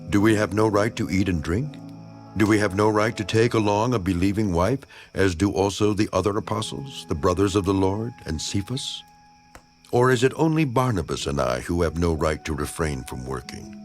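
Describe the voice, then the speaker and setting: A man acts as an earnest biblical apostle defending his ministry in a dramatized scripture reading. His voice sits on the low side, solemn and emphatic, shaped like oratory. Music plays softly behind him. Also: close to an everyday voice.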